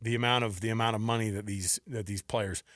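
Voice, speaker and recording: A man's voice: on the low side, fairly even intonation, fast talker, fairly bright tone, no room sound. A man co-hosting a sports radio show, talking casually as he runs through lists, close on a studio microphone. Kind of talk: casual sports talk, running a list quiz.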